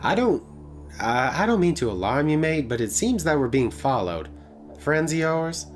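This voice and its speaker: A man's voice: fairly high pitch, very animated, normal speed, soft background music, voice pushed up. A man voicing a brash, reckless woman who drives a taxi in a visual novel, offhand and slangy. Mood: excited, wicked. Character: brash, reckless, thrill-seeking female Australian taxi driver. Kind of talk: character voice in a visual novel read-aloud.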